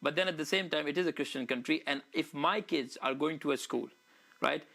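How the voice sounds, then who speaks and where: Quick talking guy from wales, bit higher voice, earnest, keeps restarting. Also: gravelly, bright, forceful tone. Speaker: interview guest. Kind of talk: casual interview chat.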